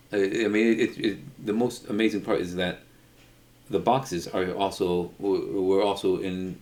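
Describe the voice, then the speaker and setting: A middle-aged man telling an unscripted personal story in a halting way, often repeating words as he goes. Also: sits low, warm tone. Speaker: storyteller, video creator.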